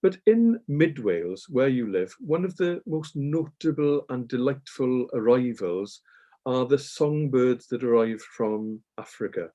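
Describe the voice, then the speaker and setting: A man interviewing an author, asking long, measured questions with occasional ums. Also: mid-range voice, lively intonation, mellow, dull audio.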